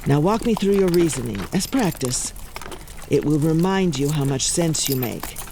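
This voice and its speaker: Low-voiced, kindly older village matron. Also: female voice, expressive, moderate pace, background noise. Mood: firm, encouraging. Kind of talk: audio drama acting.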